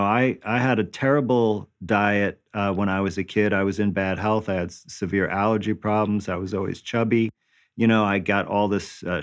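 A man in his fifties answering interview questions conversationally, explaining things and often checking for agreement. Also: on the low side. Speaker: interview guest, natural healing practitioner.

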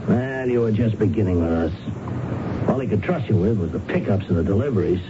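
An actor playing a gruff, impatient, bossy smuggler in American radio drama style. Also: male voice, fairly low pitch, expressive, fairly quick, natural voice. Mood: irritated, insistent. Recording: muffled, noisy old radio broadcast.

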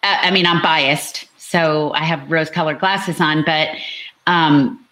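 A woman's voice: low-pitched, steady tone, measured pace, bright, forceful tone, rough recording. A woman author talking casually about her novel at a book launch, chatty and explanatory.

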